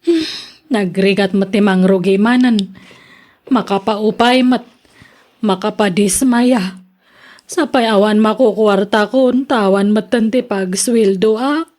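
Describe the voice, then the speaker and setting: A woman's voice: medium pitch, measured pace, darkish tone, natural voice. An actress with an Ilocano accent playing a stubborn, vain woman vlogger hungry for fame, in theatrical radio drama style. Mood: dejected.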